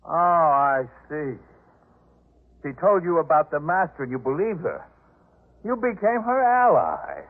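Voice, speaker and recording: An actor playing a proud, disdainful master of a biblical household in a 1950s radio drama, with haughty, emphatic delivery. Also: male voice, on the high side, very animated, a little slow, long pauses. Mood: scornful. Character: proud, indignant, disdainful male head of household in biblical times. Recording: muffled narrowband 1950s radio recording.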